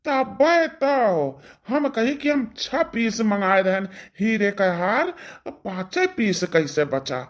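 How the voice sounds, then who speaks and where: A woman voicing an older village father-in-law in a cartoon, questioning and scolding with an Awadhi accent. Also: medium pitch. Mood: suspicious. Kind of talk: cartoon dialogue.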